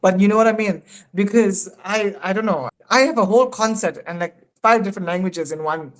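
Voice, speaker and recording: A South African man in his thirties, a podcast guest in casual, animated chat who laughs often. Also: high voice, brisk, slight background noise. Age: 30s.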